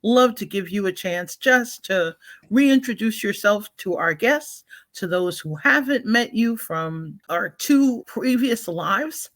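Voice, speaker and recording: A woman's voice: medium pitch, expressive, slow. A woman hosting a live online talk show, greeting viewers and introducing her guest with effusive enthusiasm. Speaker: host.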